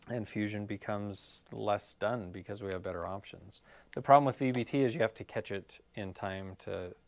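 A man's voice: fairly low pitch, vocal fry, no echo. A middle-aged man explaining scoliosis care at a live workshop, casual and full of fillers, while losing his voice. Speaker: physical therapist leading a scoliosis workshop. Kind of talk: live-streamed workshop talk. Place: clinic room.

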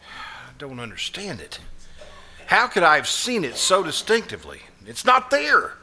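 A man's voice: fairly high pitch, wildly swooping pitch, unhurried, sharp tone, heavily acted. An actor playing a desperate, sleepless, nervous man who hesitates and stammers in old-time radio suspense style. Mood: anxious, puzzled. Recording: live stage performance with music.

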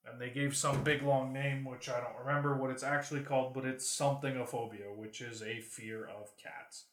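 A man's voice: mid-range voice, fairly even intonation, normal speed, fairly bright tone. A man chatting casually as a podcast co-host, posing questions in an opinionated, joking way and swearing freely. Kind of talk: casual chat. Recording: roomy, echoey sound.

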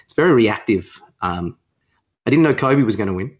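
A man's voice: on the low side, expressive, normal speed, breathy edge, dull audio. A man co-hosting a podcast with casual banter in an offhand, joking way. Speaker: podcast co-host.

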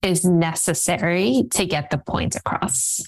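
A woman whose first language is Russian, an executive coach talking as a guest in an energetic, chatty way, laughing often and using many fillers. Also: on the low side, measured pace, gravelly. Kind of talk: casual interview talk.

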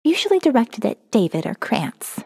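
A woman's voice: fairly high pitch, sing-song, normal speed, vocal fry, warm tone, breathy edge, no echo, studio quality, in a large hall, full character voice. A woman reading as a sardonic young college student narrating her survivor's diary in a wry, profane, conversational way for an audio novel. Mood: wry, confiding. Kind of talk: first-person diary narration, dramatic reading.

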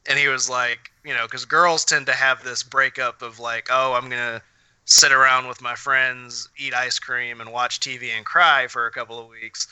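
Male podcast host, crisp and clear, casual, opinionated and sweary, very dry sound. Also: medium pitch, flat delivery, normal speed, pushed and bright, dry recording, rough recording. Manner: opinionated, blunt, profane. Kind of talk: casual chat with friends.

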